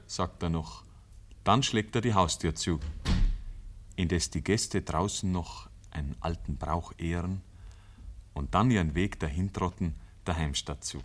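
A man with a Bavarian accent narrating a 1950s radio play in measured, rustic storytelling. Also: sits low. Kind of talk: scripted literary radio narration. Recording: noisy old 1950s radio recording.

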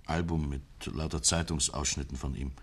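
An actor playing a polite, earnest police investigator in a 1950s German radio play, courteous and methodical with small hesitations. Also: male voice, very deep voice, fairly even intonation, moderate pace, husky, full character voice.

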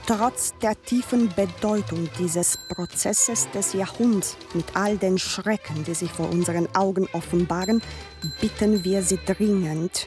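A woman's voice: on the low side, darkish tone, lightly acted. An actress playing an exasperated woman reading out a formal, pleading letter of complaint in a radio drama.

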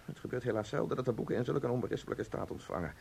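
A man's voice: medium pitch, expressive, rapid-fire, gravelly, a little mellow, a little hiss or hum. An actor playing an aggrieved, bookish man in a divorce, insistent and agitated, in a Dutch radio play. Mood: bitter, sarcastic.

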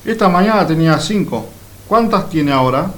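Storybook radio drama: sly country hustler, male actor, slightly high-pitched, patient and deliberate. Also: expressive, fairly bright tone. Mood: coy bargaining. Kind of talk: Spanish-language storybook radio play.

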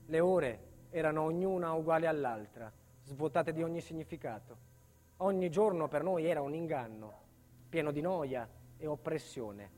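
A man's voice: fairly high pitch, darkish tone, soft background music, lightly acted. A male actor playing an embittered protected witness recounting a life in hiding, in a solemn, intense theatre monologue. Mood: weary, oppressed. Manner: solemn, intense, deliberate phrasing. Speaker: stage actor and narrator. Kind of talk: dramatic first-person theatre monologue.

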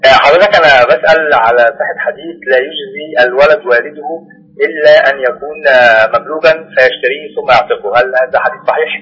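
A man's voice: a little high, very fast, fairly bright tone, husky, lo-fi sound. A man with an Egyptian accent calling a religious advice show, putting his questions spontaneously and deferentially. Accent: Egyptian Arabic.

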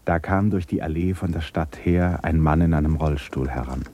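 A man narrating a radio play in a measured, literary storytelling style. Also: a bass voice, mellow, a little hiss or hum.

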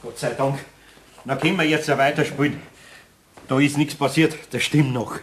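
A man's voice: mid-range voice, fairly even intonation, normal speed, a little hiss or hum, natural voice. An actor playing a good-natured older master craftsman with a Bavarian accent in a children's radio play, hesitant and easily flustered. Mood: relieved.

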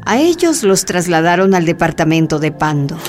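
A woman's voice: lowish voice, sing-song, moderate pace. A woman delivering dramatic scripted narration for a radio drama. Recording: music bed behind the voice.

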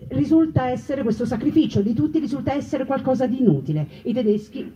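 Female monologue performer, soft dark tone, theatrical and ironic, rhetorical delivery; dull recording with faint background noise. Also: high-pitched, lively intonation, fast. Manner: emphatic, rhetorical repetition with wry irony. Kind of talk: theatrical narrative monologue on wartime history. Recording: muffled, distant mic with background noise.

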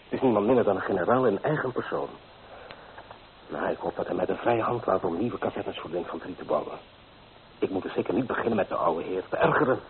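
Low-voiced, proud small-town architect. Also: male voice, animated, fast talker. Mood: hurried apologetic. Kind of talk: Dutch radio drama acting. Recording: tinny, hissy old radio play recording.

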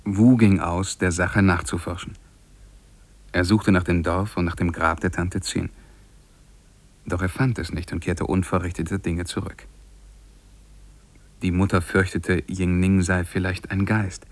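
Deep, gravelly-voiced young scholar narrator. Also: male voice, level tone. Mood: calm, measured. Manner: measured, lyrical storytelling. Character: lovestruck harmless young scholar recounting his own story. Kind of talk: literary narration in a German radio play.

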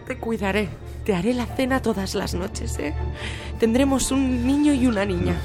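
An actress playing an anguished, evasive, clinging wife in a radio drama, imploring insistently. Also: female voice, fairly high pitch, normal speed, music in the background, close to an everyday voice. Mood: coaxing, tender. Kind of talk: Spanish radio drama acting.

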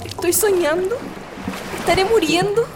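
Woman acting a very high-voiced frightened girl. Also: a little slow, background noise. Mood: dazed, fading. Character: frightened girl rescued from drowning at sea. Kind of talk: radio drama acting.

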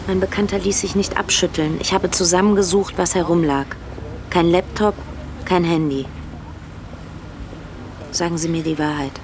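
A woman's voice: on the low side, fairly even intonation, moderate pace, long pauses, natural voice. A woman playing an uneasy civilian reporting to a contact in a factual, orderly way in a German radio drama. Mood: uneasy, earnest. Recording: vehicle noise behind the voice.

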